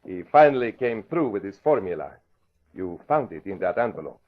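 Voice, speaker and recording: An actor playing a gloating, menacing spy villain with formal, deliberate phrasing, in 1940s radio drama style. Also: male voice, on the low side, very animated. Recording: muffled vintage radio recording.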